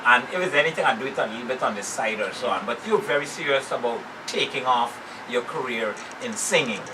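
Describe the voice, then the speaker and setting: A male TV host asks his guest questions in a chatty, affirming way. His voice swings up and down a lot as he talks. He is picked up from a distance, with steady studio noise behind him. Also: mid-range voice, fast. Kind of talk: TV studio interview. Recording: distant mic with noisy studio air.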